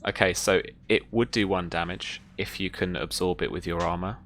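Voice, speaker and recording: Low, creaky-voiced game master. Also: steady tone, moderate pace, fairly bright tone, a little hiss or hum. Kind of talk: running a tabletop roleplaying game, casual.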